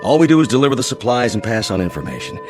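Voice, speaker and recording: An actor in a radio drama playing a grave, sympathetic spaceship captain who is guarded and dutiful. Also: male voice, medium pitch, big pitch swings, rapid-fire, lightly acted. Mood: somber, sympathetic. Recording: music behind.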